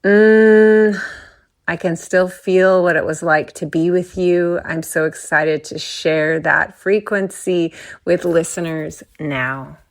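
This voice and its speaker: A woman hosting a podcast interview, with a gentle and affectionate manner, speaking over a remote call. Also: on the low side, slow. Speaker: podcast host and astrology teacher.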